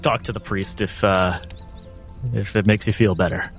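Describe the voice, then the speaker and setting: A man's voice: on the low side, fairly even intonation, normal speed, lightly acted. A man voicing a burly, kindly baker father in a tabletop fantasy game, with music behind him. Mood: easygoing, reassuring.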